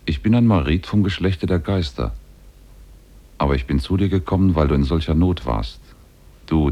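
A very deep-voiced spirit in the shape of an ape, from an old German radio play. It speaks in a measured, portentous way, solemn and used to giving orders. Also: male voice, warm tone, heavily acted. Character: talking ape, secretly a jinn, solemn and commanding. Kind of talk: 1950s German radio drama acting.

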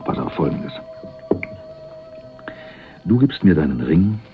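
An actor playing a cocky, laid-back small-time crook in a radio crime drama, talking in a casual, slangy, sly way. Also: male voice, deep voice, big pitch swings, slow pace, narrow, tinny audio, music in the background, heavily acted. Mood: sly, persuasive. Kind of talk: 1980s German radio crime drama acting.

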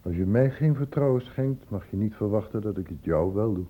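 A man's voice: on the low side, expressive, a little fast, mellow, slightly rough. A man playing a concerned, insistent doctor, stern but caring, in a Dutch radio drama. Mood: pressing, indignant. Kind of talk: Dutch radio play acting. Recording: muffled, phone-like old radio recording.

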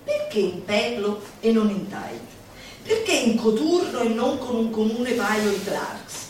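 A woman giving a theatrical recitation, declamatory and playful, with dramatic pauses and asides to her listeners. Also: a little high, sing-song, background noise. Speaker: solo stage performer. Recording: very echoey live hall, distant mic, music behind.

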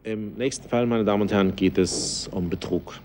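Actor as formal TV crime presenter, noisy sound. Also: male voice, on the low side, fairly even intonation, moderate pace, darkish tone, lightly acted. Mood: formal, earnest. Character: formal male television crime-show presenter. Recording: noisy sound as from television set.

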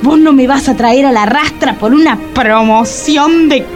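An actress as a high-voiced Argentine mother, hot-tempered and melodramatic. She speaks with slow, drawn-out indignation in a comic radio drama, with music playing clearly behind her. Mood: indignant. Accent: Argentine Rioplatense.

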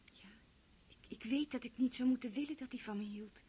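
A woman's voice: on the high side, a little fast, dark tone, breathy edge. An actress in a radio play, voicing a shy young woman, a poor cousin in love, who speaks timidly and hesitantly.

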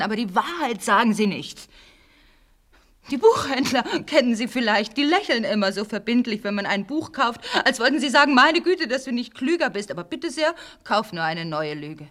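A woman's voice: fairly high pitch, a bit bright. A brooding, intense bookish mother. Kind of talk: literary radio play acting.

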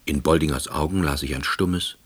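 A middle-aged ex-official narrating an audio drama. His voice is very low and husky, with a dry, deadpan delivery and a wry, wordy manner. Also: normal speed, exaggerated voice. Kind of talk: scripted first-person narration.

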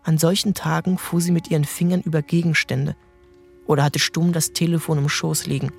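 A man narrating a literary audiobook in a calm, measured storytelling voice. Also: on the high side, gravelly, soft background music.

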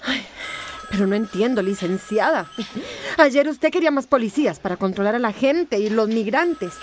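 A fussy, intolerant female assistant played by an actress protests in a radio soap. Her highish, whiny voice swings lively over faint noise. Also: normal speed, natural voice. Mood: indignant. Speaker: actor in a radio drama. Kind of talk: radio soap opera dialogue.

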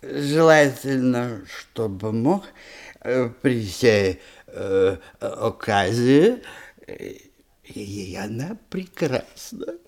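A man's voice: mid-range voice, lively intonation, drawn-out words. A male actor playing a fussy, cowardly and pompous guardian and tutor in a radio comedy, hesitating and stammering in theatrical verse. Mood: flustered. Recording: music behind archived radio play.

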